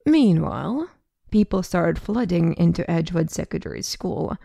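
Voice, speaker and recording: A woman's voice: fairly low pitch, lively intonation, normal speed, gravelly, dark tone, no room sound, clean studio sound. A woman narrating a scripted story for an amateur audio drama.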